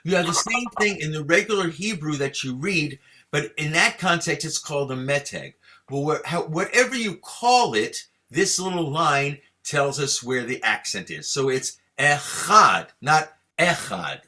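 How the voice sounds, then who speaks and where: A man's voice: on the high side, expressive, sharp tone. A man teaching Torah chanting to a class over a video call, patient and encouraging as he explains and leads the students. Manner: patient, encouraging, chants each sign slowly for students to repeat.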